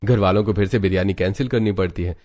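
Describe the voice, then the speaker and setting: A man narrating a cartoon story in a steady, scripted way. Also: sits low, fast.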